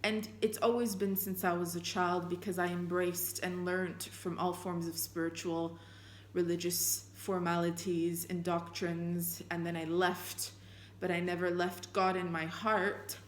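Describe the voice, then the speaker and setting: A woman whose first language is Armenian, reflecting earnestly on faith and reading passages of scripture aloud, thinking out loud with fillers and restarts. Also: fairly low pitch, level tone, unhurried, slight background noise. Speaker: spiritual vlogger. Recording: echoey room.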